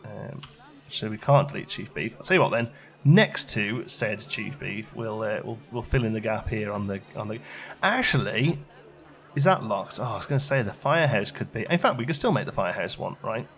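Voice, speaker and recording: A man with a fairly low voice and a noticeable creak hosts a gaming video. He talks casually and chattily, thinking out loud as he plays, with a little music behind him. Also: lively intonation, moderate pace. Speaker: gaming channel host. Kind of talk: casual let's play commentary.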